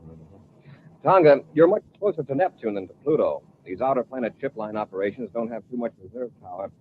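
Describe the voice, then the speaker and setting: An actor playing a brisk, authoritative and heroic space patrol commander in an old-time radio serial. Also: male voice, medium pitch, expressive, lightly acted. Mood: brisk, commanding. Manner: commanding, decisive. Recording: tinny, hissy vintage radio recording.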